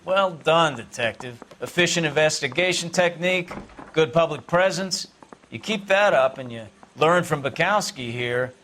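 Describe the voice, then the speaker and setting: An actor playing a brash, approving police superior in a video game, brisk and commending. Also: male voice, a little high, fairly bright tone, slight background noise, lightly acted. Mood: pleased, brisk. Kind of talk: dramatic video game acting.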